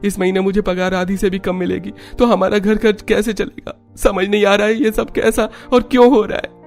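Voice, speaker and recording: A man's voice: high-pitched, little pitch movement, moderate pace, darkish tone, soft background music. An actor playing a hot-tempered, troubled working father in a cartoon story, agitated and complaining. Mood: worried.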